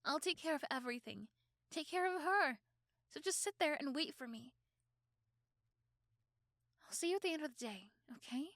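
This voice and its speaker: A high, closely recorded young female voice acted by a woman plays a possessive schoolgirl girlfriend in an ASMR roleplay. She speaks straight to her boyfriend, doting and protective with a menacing edge. Pauses and repeated words make her delivery dramatic and intense. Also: lively intonation, a bit bright, no room sound, studio quality. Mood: reassuring, resolute. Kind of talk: ASMR roleplay acting addressed to the listener.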